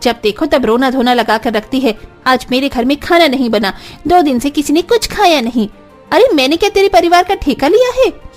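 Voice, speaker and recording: An actress playing a hard-hearted, shrill, scolding village neighbour woman in a cartoon. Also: animated, normal speed, soft background music. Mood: angry, scornful. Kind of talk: Hindi cartoon dubbing.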